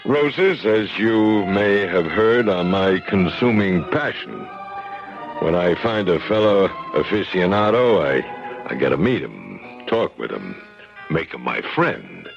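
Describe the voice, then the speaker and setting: Brief: a smooth underworld boss, very low, gravelly voice that swoops up and down, dull 1970s radio sound. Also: male voice, slow, full character voice. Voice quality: gravelly and lively. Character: suave, menacing male gangster who loves roses. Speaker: gangster writing a letter. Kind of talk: 1970s radio drama acting. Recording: dull old radio broadcast with music.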